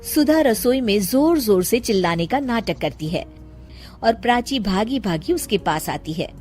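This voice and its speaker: A woman narrates a cartoon story with a slightly high voice and a lively, rising and falling line. She keeps a steady storytelling cadence. Faint music plays behind her. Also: a little slow.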